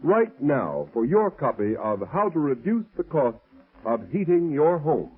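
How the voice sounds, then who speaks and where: A man's voice: a little high, big pitch swings, unhurried. A man announcing in a formal, emphatic 1930s radio style. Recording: very muffled, rough old radio recording.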